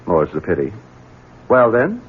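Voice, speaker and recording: Husky, swooping Southern bachelor. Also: male voice, fairly low pitch, huge pitch swings, unhurried, full character voice. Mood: irritable, cold. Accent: American South. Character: smooth-talking Southern bachelor heir, weak, selfish, evasive. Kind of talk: 1970s radio drama acting. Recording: muffled 1970s radio broadcast.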